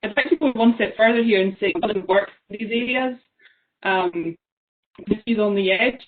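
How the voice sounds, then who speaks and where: A woman's voice: medium pitch, fairly quick. A young woman from the Isle of Lewis with a Scottish accent, giving an online seminar talk, thoughtful and full of ums. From Isle of Lewis, Scotland. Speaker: seminar speaker. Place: small room at home. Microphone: laptop webcam on a video call. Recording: tinny phone-like video call.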